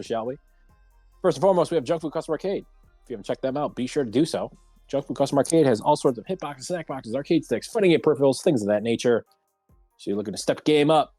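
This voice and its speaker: Male host for a casual round table livestream, medium pitch, dry close recording, faint music underneath. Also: dark tone, dry recording. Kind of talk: casual hosting and chat on a livestreamed talk show.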